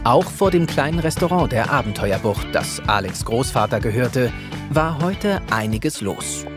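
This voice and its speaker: A man's voice: mid-range voice, lively intonation, unhurried, music in the background. A man reading scripted narration for a children's audio play.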